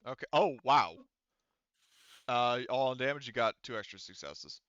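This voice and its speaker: Bright, clear-voiced man running a game. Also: medium pitch, lively intonation, brisk, dry recording, highs cut off. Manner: explanatory, thinking aloud with self-corrections. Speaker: game master. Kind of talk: casual tabletop game narration and rules talk.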